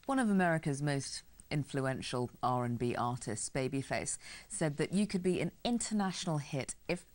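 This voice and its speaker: A woman hosting a broadcast TV interview, asking measured, probing questions in a large studio. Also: deep voice, lively intonation. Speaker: interviewer, show host.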